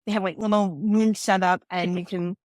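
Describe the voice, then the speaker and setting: A woman's voice: mid-range voice, steady tone, moderate pace, a little mellow, no echo, clean studio sound. A woman in her forties with a New York accent, a podcast guest and confidence coach telling her story earnestly, often restarting her sentences. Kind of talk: podcast interview.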